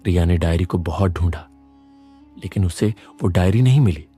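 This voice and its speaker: A man's voice: deep voice, normal speed, dark tone, husky, soft background music. A man reading scripted narration for a moral story as its narrator.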